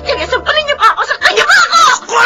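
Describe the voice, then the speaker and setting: A woman's voice: extremely high pitch, very animated, fast talker, shouting. A young woman with a Filipino accent playing a bitter, neglected teenage daughter in an emotional radio drama. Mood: tearful rage. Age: young adult. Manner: emotional, tearful outbursts. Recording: music under the voice.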